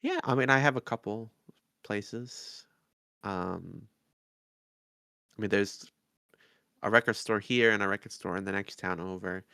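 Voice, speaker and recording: Male podcast co-host, conversational, with noticeable vocal fry and a dry, close sound. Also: fairly low pitch, unhurried, pauses often, pushed and bright, no room sound. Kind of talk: casual review podcast chat.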